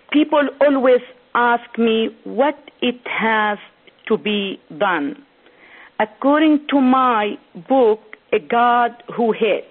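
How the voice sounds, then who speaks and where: A woman from Syria, giving a prepared conference speech as an author, delivering it slowly phrase by phrase. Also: fairly high pitch. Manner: slow, deliberate, phrase-by-phrase delivery. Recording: tinny phone-like sound.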